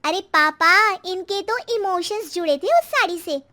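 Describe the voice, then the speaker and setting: Woman playing very high voice, spoiled young daughter, whiny, demanding, storybook character. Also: unhurried, pushed and bright, full character voice. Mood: petulant, mocking. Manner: whiny, insistent. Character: demanding, petulant college-age daughter.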